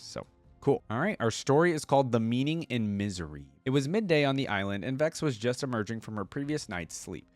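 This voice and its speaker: A man with a fairly low voice reads aloud and comments casually as a reaction host. His delivery is informal, as if thinking out loud, and the sound is dry with very little room. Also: no echo. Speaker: reaction video host and reader.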